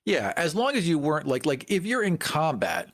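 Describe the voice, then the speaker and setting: A man's voice: a little high, huge pitch swings, normal speed, a bit bright. A man talking casually as a panelist in a discussion about tabletop gaming.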